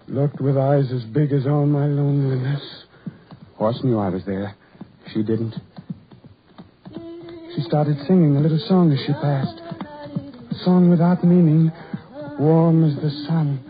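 An actor playing a lonely, self-loathing ugly farm labourer in a 1940s radio horror drama, with halting, repetitive, brooding delivery. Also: male voice, a little high, a little hiss or hum, lightly acted. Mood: yearning. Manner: halting, repetitive, brooding, building to anguished outbursts. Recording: muffled, tinny 1940s radio recording.

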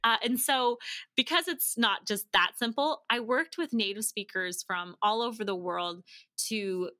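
A woman with a fairly high, very bright voice talks in a chatty interview style. She tells stories and explains things conversationally, slipping into quoted dialogue as she goes. The sound is close and dry. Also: unhurried, no echo. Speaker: podcast guest, language-learning company founder. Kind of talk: animated conversational storytelling and explaining.

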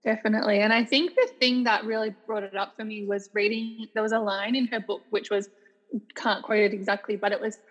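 An Australian woman in her thirties speaks as a podcast guest, chatty and quick to laugh. Her voice has a husky, slightly breathy edge, and she often restarts her sentences as she talks. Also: medium pitch, steady tone. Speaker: guest, salon owner. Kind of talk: casual podcast interview.

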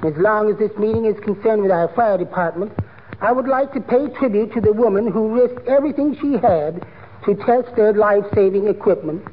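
An actor playing a meek, earnest little amateur poet with a timid, precious manner in a 1940s radio comedy. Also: male voice, high voice, a little fast, close to an everyday voice. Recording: tinny, noisy old radio broadcast.

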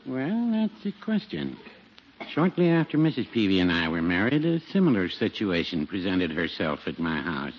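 Low-voiced, hesitant old druggist. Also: male voice, wildly swooping pitch, moderate pace. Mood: musing, folksy. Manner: hesitant, contradicts himself, mild. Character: mild, hesitant, folksy older male small-town druggist. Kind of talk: 1940s radio comedy acting. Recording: noisy, dull 1940s radio recording.

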